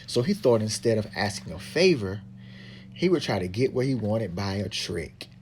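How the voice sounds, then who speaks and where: A woman's voice: extremely low pitch, lively intonation, moderate pace, a little mellow, a little hiss or hum. A woman reading a storybook aloud as the narrator. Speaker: narrator, reading partner to a child.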